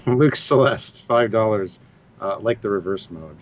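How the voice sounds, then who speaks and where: A man with a lowish voice co-hosts a live chat from home and answers with deadpan, sardonic remarks. His video-call audio sounds dull and muffled, with a faint hiss behind it. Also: slow. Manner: dry, sardonic. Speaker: co-host. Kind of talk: casual live discussion. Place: home room.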